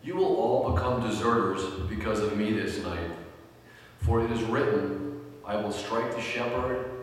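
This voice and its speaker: A man reads as a solemn, grieving male teacher in a Passion play. The voice is low and deliberate, heard from far off in a hugely reverberant hall. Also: natural voice. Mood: solemn, foretelling. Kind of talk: dramatic scripture reading. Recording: very echoey hall, distant mic, faint noise.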